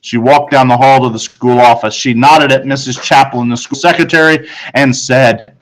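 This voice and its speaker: A man reads a children's book aloud in an average-pitched voice. He is a teacher and tells the story expressively. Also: fairly even intonation, normal speed, poor audio.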